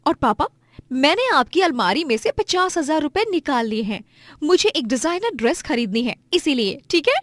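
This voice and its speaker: Woman acting a shrill greedy young wife. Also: big pitch swings, moderate pace, fairly bright tone, no room sound. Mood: brazen. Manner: haughty, demanding, scolding.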